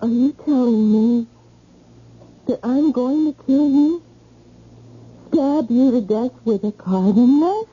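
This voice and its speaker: A woman's voice: on the high side, slow, pauses often, husky. An actress playing a hurt, insistent young woman in love, confronting her boyfriend emphatically in an old-time radio drama. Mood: incredulous. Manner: emphatic, accusing, plain-spoken. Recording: muffled old radio recording.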